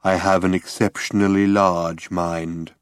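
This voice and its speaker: A man's voice: level tone, smooth, no echo, clean studio sound. Marvin, a man doing a droning gloomy robot. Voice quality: low, hopeless drone. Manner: slow, pathetic, self-pitying. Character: gloomy depressed male robot, slow and droning. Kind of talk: audiobook character voice.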